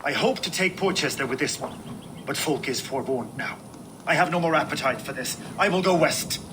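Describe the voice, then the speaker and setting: An actor playing a hot-tempered seasoned Viking warlord in a video game, commanding and emphatic. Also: male voice, fairly high pitch, a little fast, natural voice. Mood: bitter. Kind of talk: dramatic video game acting. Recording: noisy game ambience behind.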